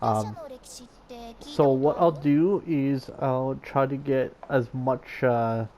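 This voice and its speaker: A woman's voice: very deep voice, slow pace, dark tone. A woman gaming streamer giving casual, irreverent commentary in a giggly, joking manner.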